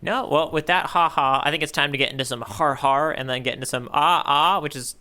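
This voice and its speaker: Bright-voiced man, playful podcast co-host. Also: a little high, fairly quick. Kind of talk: casual manga discussion banter.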